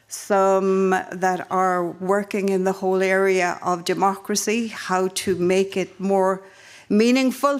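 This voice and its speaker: An Irish-accented woman opens a conference session as its moderator. She speaks in a measured, reflective manner, often pausing partway through a phrase. Her pitch sits in the middle range for a woman. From Ireland. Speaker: panel moderator. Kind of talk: introductory remarks at a conference.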